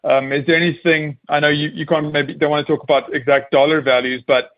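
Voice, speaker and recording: A man's voice: fairly high pitch, level tone, fairly quick. A man speaking as a financial analyst, asking questions on an earnings call in a polite, tentative way with hedged phrasing. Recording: slightly dull conference call audio.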